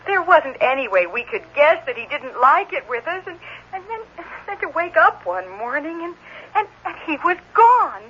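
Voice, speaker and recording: A woman's voice: high-pitched, sing-song, normal speed, bright, forceful tone, full character voice. An actress playing an anxious, apologetic foster mother who stammers and repeats herself in an old-time radio drama. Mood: anxious, upset. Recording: dull, noisy old radio recording.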